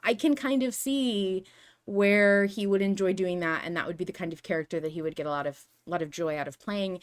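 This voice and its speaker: A woman hosting a casual fan discussion podcast, talking in an animated, rambling, emphatic way. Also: on the low side. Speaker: podcast host.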